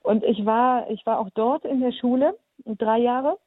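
A woman's voice: a little high, measured pace, husky, dry recording. A woman from Romania giving firm, articulate opinions on talk radio with frequent filler ums, heard over a tinny phone line. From Transylvania, Romania. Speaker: studio guest.

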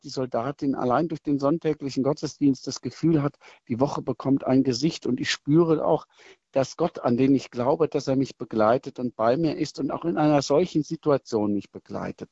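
A middle-aged man, a military chaplain, answering interview questions in a thoughtful, measured way with hesitations and restarts. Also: medium pitch, warm tone, slightly rough, no room sound, muffled recording.

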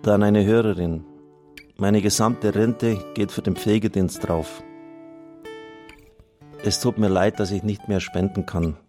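A man's voice: fairly low pitch, steady tone, measured pace, long pauses, warm tone, soft background music. A man, a priest hosting on radio, speaking in an earnest, plain-spoken way as he reads out listener messages and appeals for support. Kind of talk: reading out listener testimonials and appealing for donations on air.

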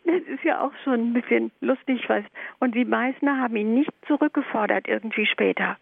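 A female caller with a gravelly edge, heard over a thin, tinny phone line. She talks in a chatty, inquisitive way, pressing with follow-up questions. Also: fairly high pitch, brisk, no echo. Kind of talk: radio call-in question.

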